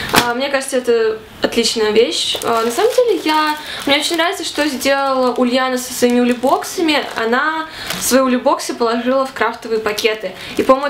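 A woman's voice: fairly high pitch, slight background noise. Chatty young woman in a roomy bedroom. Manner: chatty and animated, gesturing a lot. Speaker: vlogger. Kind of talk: casual chat to camera. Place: small bedroom with fairy lights.

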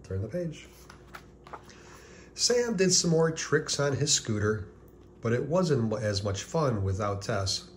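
A man reading a children's picture book aloud as scripted narration, deliberately, with pauses before key words. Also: medium pitch, sing-song, slight background noise.

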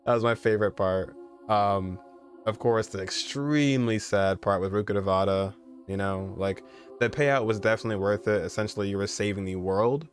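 A man's voice: fairly low pitch, fairly even intonation, moderate pace, faint music behind. A man in his twenties with an American accent, giving casual, conversational reaction commentary as a gaming streamer. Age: 20s. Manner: conversational, repeats "you know" and "like". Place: indoor room, streaming setup.

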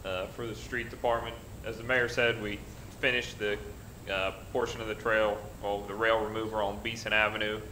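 A middle-aged man giving a plain, halting department report at a city council meeting, with many pauses. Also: fairly low pitch, little pitch movement, sharp tone, a little hiss or hum. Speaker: council member. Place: council chamber at a dais. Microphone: desk mic. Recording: roomy council chamber.

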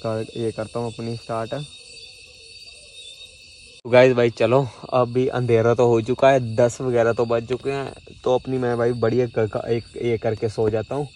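A man's voice: medium pitch, a little mellow, a little hiss or hum. A young man in his twenties filming a solo camping vlog on a handheld phone, outdoors in a forest at night and inside a small tent. He talks nervously, stammering and restarting words. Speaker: vlogger. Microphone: phone held at arm's length.

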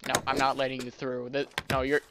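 A man's voice: mid-range voice, monotone, fairly quick. Rambling male gamer over audible noise. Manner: rambling, thinking aloud, self-deprecating. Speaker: gaming video host. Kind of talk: casual gameplay commentary.

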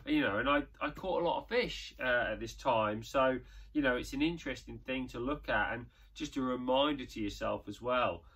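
A man's voice: mid-range voice, normal speed, fairly bright tone, husky. A young man in a tackle shop, casually talking through his past fishing matches and thinking aloud with plenty of ums. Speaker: angler and channel host. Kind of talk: casual reminiscing commentary.

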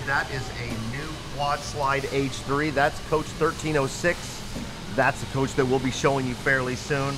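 Upbeat man hosting over factory noise. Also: mid-range voice. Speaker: company video show host. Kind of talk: informal factory tour narration to camera. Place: large open factory hall, indoors. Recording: noisy factory floor ambience.

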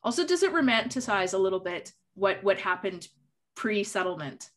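A woman's voice: medium pitch, very animated, moderate pace, a bit bright. A young woman moderating a Q&A over a video call from a home office, reading questions aloud in a friendly way. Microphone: video call webcam.

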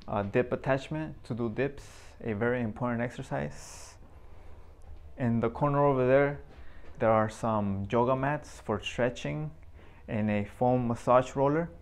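A young man giving a casual, unhurried walkthrough of his home garage gym, pausing between items as he points them out. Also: medium pitch.

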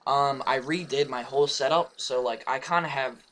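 A young man with a raspy, just-woken voice, rambling through a casual update for his gaming channel with many fillers and restarts. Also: mid-range voice, normal speed, a bit bright, a little hiss or hum. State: hoarse, just woke up. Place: home room beside his gaming setup.

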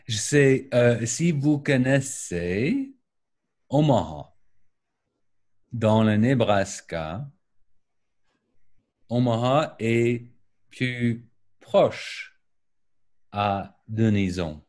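A middle-aged American man, a native English speaker, answering questions over a video call in careful, deliberate French with an American accent. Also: mid-range voice, animated, a little mellow. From United States. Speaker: guest, video editor. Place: home room with paintings and lamp, indoors. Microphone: webcam on a video call.

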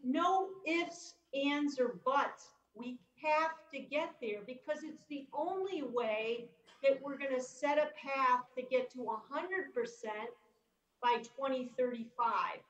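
Middle-aged woman economist giving a keynote on a video call, upbeat and chatty, slightly high voice, roomy dull audio. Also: takes it slowly, fairly bright tone. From upstate New York. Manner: upbeat and chatty, with casual asides. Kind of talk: conference keynote talk.

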